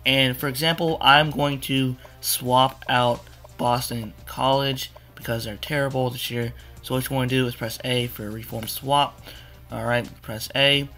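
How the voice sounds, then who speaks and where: A young man with a hoarse, cracking voice, rambling casually through a video game walkthrough with many fillers. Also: mid-range voice, fairly even intonation, unhurried, sharp tone, faint music behind. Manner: rambling, repeats "you wanna" with fillers. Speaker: gaming tutorial maker. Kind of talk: casual screen-capture walkthrough.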